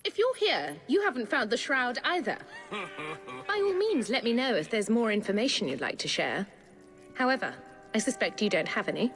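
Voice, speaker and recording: Cold, mocking Templar villainess, lively pitch. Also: female voice, soft background music. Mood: cold, taunting. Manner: measured, taunting, commanding. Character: cold, mocking, menacing female Templar villain in Victorian London. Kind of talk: dramatic video game cutscene acting.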